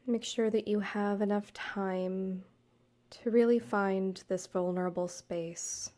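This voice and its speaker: A woman guiding a meditation, speaking to the listener in a soothing, measured way with deliberate pauses. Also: medium pitch, fairly even intonation, mellow. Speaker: guided meditation host, counselor and yoga teacher. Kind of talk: gentle guided meditation narration, addressed to the listener.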